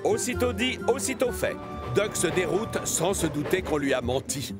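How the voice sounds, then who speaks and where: A man's voice: sits high, sing-song, moderate pace, loud music behind the voice. A man narrating a children's cartoon as a storyteller, reading a scripted tale.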